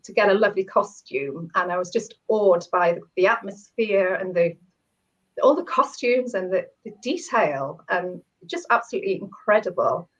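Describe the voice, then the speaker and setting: A woman whose voice is husky and croaky from a cold. She talks in a chatty way, with small laughs and restarts, and reads her story aloud. The sound is dull and lo-fi, like a video call. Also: medium pitch, animated, normal speed. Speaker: short story author and guest. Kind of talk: casual chat, then reading her story aloud.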